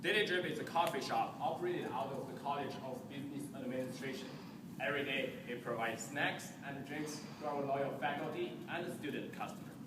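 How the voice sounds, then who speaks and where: A man's voice: medium pitch, fairly quick, recorded from a distance, noisy background. A young man from China, a student presenter reciting a rehearsed team presentation, with small restarts. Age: young adult. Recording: echoey hall, recorded from afar.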